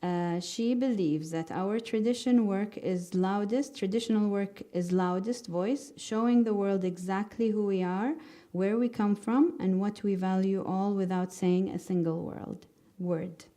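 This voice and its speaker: Mellow-voiced woman moderating a conference panel. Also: mid-range voice. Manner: halting, many fillers and restarts. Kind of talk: conference moderating, partly scripted. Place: conference hall. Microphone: handheld microphone.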